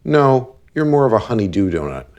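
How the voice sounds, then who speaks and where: A man playing a blunt, deadpan cab driver who gives relationship advice in an animated series. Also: mid-range voice, fairly quick, muffled recording, close to an everyday voice. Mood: dry, explanatory.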